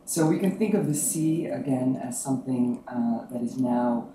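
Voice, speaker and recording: A woman with a low voice giving an academic presentation, soft-spoken with many ums as she tries to project. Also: moderate pace. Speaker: academic panelist. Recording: roomy, distant mic, some noise.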